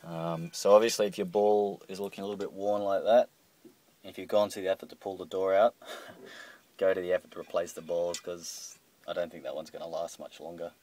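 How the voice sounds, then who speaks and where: A man's voice: deep voice, little pitch movement, a little fast, warm tone. A middle-aged man talking casually through a boat door repair, hesitant with many ums and restarts, recorded on a handheld phone. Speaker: boat owner showing a repair. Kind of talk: casual how-to walkthrough. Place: on a boat cockpit, indoors at the door track. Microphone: handheld phone camera.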